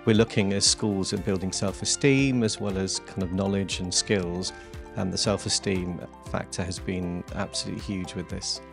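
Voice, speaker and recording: A man's voice: low voice, steady tone, moderate pace, vocal fry. A man in his forties, a school teacher, talking in an interview in a classroom with a handheld mic, earnest and full of fillers. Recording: music bed under voice. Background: soft guitar music.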